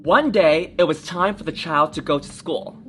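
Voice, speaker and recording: A woman's voice: deep voice, normal speed, slight background noise. A woman reading a children's picture book aloud in a theatrical, encouraging manner, often addressing young listeners directly. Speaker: drag artist storytime reader.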